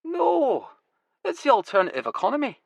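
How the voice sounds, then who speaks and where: A man voicing an excitable older grower with a raspy Glasgow accent, eager and proud of his farm. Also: very high-pitched, moderate pace, no room sound, voice pushed up, heavily acted. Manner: eager, wild-eyed, proud.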